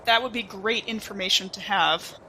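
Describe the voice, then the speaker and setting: A woman talks casually as a player in a tabletop game. Her voice is bright and crisp-edged, at an average pitch, with a little noise in the background. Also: normal speed, low-quality recording.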